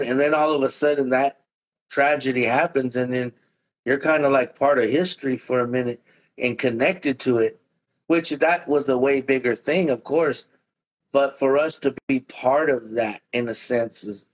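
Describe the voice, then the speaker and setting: A man's voice: medium pitch, steady tone, moderate pace, lo-fi sound. Laid-back man chatting casually. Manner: laid-back, talks in loose run-on sentences with "man" and "bro". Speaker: musician guest. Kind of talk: casual interview chat.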